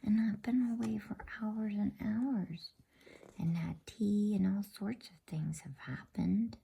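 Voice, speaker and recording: A woman voicing an excited, earnest little girl in a breathy whisper, for a bedtime storybook reading. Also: medium pitch, takes it slowly, a little hiss or hum. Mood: insistent. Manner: earnest, insistent.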